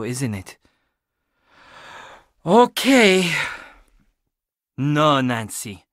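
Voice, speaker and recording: A man's voice: a little high, sharp tone, no room sound, clean studio sound, heavily acted. An actor playing an agitated, earnest young man at a Japanese inn in a video game, emphatic and dramatic. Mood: dejected.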